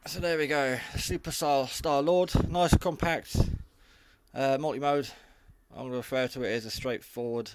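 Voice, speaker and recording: A man's voice: medium pitch, steady tone, normal speed, clear, resonant tone, no echo. A man giving a casual, unscripted hobby radio review in a rambling, matter-of-fact, modest way.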